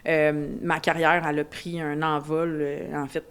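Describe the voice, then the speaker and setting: Québécois lady in her 40s chatting on a podcast, kinda low voice, lots of "euh" and restarts. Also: level tone, unhurried, clean tone. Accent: Québécois French. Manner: candid, chatty, many fillers and restarts. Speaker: insurance advisor guest. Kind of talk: casual podcast conversation.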